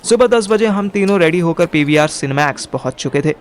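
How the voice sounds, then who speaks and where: A man's voice: fairly high pitch, lively intonation, fairly quick, background noise. A young man narrating a first-person audio drama in colloquial Hindi mixed with English words. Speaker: narrator and lead character.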